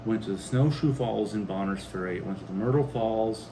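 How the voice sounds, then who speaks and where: A middle-aged man with a slightly low voice recounts things in plain, short phrases, like a casual homestead vlog co-host. Clear, steady background noise runs under his talk. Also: normal speed. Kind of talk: casual chat.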